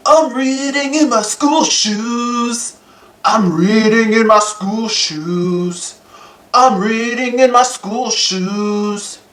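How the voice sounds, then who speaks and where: A woman's voice: on the high side, extremely slow, voice pushed down. A woman voicing a cheerful, easygoing young male cat from a children's storybook, chanting in a repetitive sing-song. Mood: carefree, upbeat. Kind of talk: children's storybook character singing. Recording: echoey, distant, with faint music.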